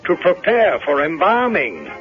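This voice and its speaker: Fussy, lively radio-drama undertaker. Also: male voice, a little high, a little slow. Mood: prim, mournful. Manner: unctuous, prissy, theatrical. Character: fussy, evasive, effusively polite male undertaker. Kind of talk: 1970s radio drama acting. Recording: muffled vintage radio broadcast, music behind.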